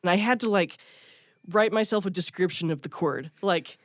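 A woman's voice: on the low side, moderate pace, no echo, clean studio sound. A woman recounting her own experience in a candid, self-deprecating way, with plenty of likes and you-knows. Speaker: guitarist interviewee. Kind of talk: casual recounting.

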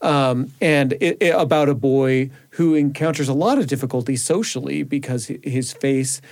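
A man's voice: medium pitch, normal speed, darkish tone. A man, a radio producer, chatting casually on air.

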